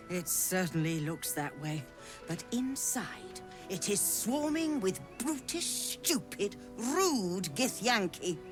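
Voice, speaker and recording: An actress playing a genteel, self-pitying scholarly trader in a video game, theatrical and effusive with affected politeness. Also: female voice, on the high side, heavily acted. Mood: plaintive, effusive. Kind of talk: dramatic video game acting.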